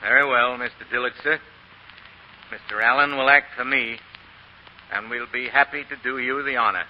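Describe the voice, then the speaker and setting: An actor in a 1940s radio drama playing a courtly, proud Southern planter with a folksy, polite, halting manner. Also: male voice, mid-range voice, fairly even intonation, natural voice. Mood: coolly menacing. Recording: muffled, echoing, noisy old radio recording.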